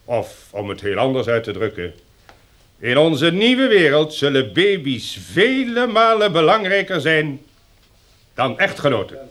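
An actor playing a stern, pompous community leader who lectures in formal, measured oratory in a radio drama. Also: male voice, fairly high pitch, very animated, a bit bright. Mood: solemn, commanding. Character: stern, pompous male leader lecturing survivors on new rules.

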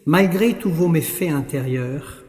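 A man plays a pompous judge in an audio drama, sardonic and elaborately formal. The voice sits a little high and rings through a very echoey hall. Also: measured pace, darkish tone, soft background music, lightly acted. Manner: wordy, formal. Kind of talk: French audio drama acting. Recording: very echoey hall, long reverb.

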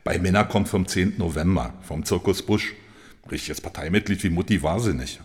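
A man's voice: low-pitched, a little fast, long reverb tail, close to an everyday voice. An actor in a German radio play voicing a plain-spoken working-class father, colloquial and matter-of-fact. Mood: dismissive.

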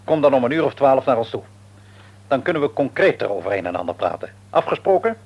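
A man's voice: mid-range voice, sing-song, fast, lo-fi sound. An actor playing a shrewd, patronising middle-aged father and businessman in a Dutch radio play, wordy and probing, with a Netherlands accent. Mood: brisk, businesslike. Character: shrewd, patronising businessman father, outwardly jovial, secretly domineering. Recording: radio drama recording.

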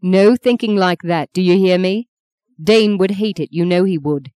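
Woman acting a mellow, steadfast grieving mother. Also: lowish voice, moderate pace, dry recording, natural voice. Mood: stern. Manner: controlled, firm, gentle, unfaltering. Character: steadfast older mother, stern yet tender in grief. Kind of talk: dramatic audiobook character voice.

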